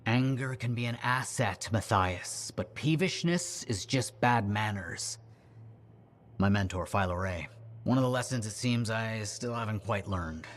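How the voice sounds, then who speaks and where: An actor playing an earnest, bookish arcanist in a video game, speaking in a polite, well-spoken, reflective way. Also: male voice, on the low side, measured pace, slight background noise, natural voice. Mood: apologetic. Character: earnest, bookish male arcanist, former street kid. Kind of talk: game dialogue acting.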